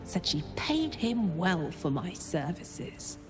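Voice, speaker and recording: A tough female tiefling fighter in a cinematic game scene. She talks slowly in a lowish voice, blunt and informal, with hurt under the words. Music plays clearly behind her. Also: very animated. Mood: bitter. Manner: informal, blunt, emotive storytelling. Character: brawny female tiefling ex-bodyguard, rough, wounded, bitter. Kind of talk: cinematic video game acting.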